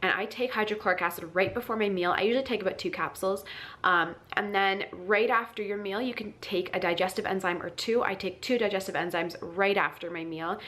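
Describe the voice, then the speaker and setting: A woman with a cold talks straight to her viewers, her voice stuffy and nasal. She explains things in a loose, chatty way, full of ums and little restarts, pitched in an ordinary middle range. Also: brisk, fairly bright tone. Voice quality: nasal, congested. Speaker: wellness vlogger. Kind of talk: casual advice to camera.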